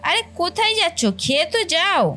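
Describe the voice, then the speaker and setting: A woman's voice: very high-pitched, expressive, fairly quick, sharp tone, soft background music, full character voice. A woman voicing a caring, fussing sister-in-law and housewife in a family cartoon. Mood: fond scolding. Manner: affectionate, fussing, motherly. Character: caring, doting elder sister-in-law, housewife, gently scolding. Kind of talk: Bengali family cartoon voice acting.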